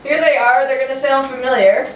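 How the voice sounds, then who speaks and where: A woman's voice: high voice, fairly even intonation, a little fast. A woman announcing playfully in the style of a 1940s radio show. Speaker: radio drama performer and announcer. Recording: very echoey room, distant mic, faint noise.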